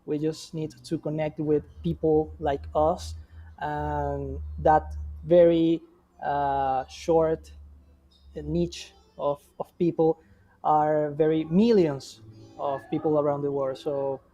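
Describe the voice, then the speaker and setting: A man talks through marketing feedback at a slow, unhurried pace. His voice sits fairly high yet sounds dark and mellow. He stops and restarts often, filling the gaps with short hesitations. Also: flat delivery, muffled recording. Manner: halting, frequent restarts and fillers. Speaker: guest marketing expert. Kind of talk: reviewing examples in conversation.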